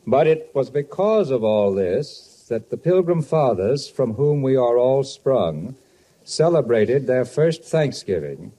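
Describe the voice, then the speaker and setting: A man's voice: on the low side, mellow. A man playing a firm but good-hearted middle-aged father in a 1950s radio comedy.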